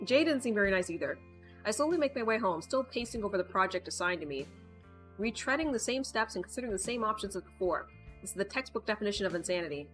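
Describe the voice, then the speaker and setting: A woman reading a visual novel aloud with casual, playful, wry asides, in an expressive manner. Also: medium pitch, fast talker. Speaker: gaming video host. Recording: background music behind the voice. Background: music.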